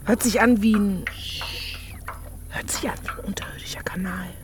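Actress as hushed brash young city woman. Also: mid-range voice, normal speed, natural voice. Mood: hushed curiosity. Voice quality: hushed, often whispering. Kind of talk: naturalistic German radio play acting.

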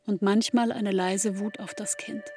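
A woman acting as an intimate, grieving narrator in a literary radio play, speaking in a slow, measured way to a bereaved mother. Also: mid-range voice, fairly even intonation, over music, natural voice. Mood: reflective, aching. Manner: slow, measured, intimate second-person delivery.

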